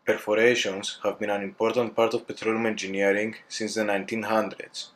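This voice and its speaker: A young man from Greece, an engineering student reading a class presentation in a careful, deliberate way. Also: lowish voice, flat delivery, a bit bright. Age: young adult.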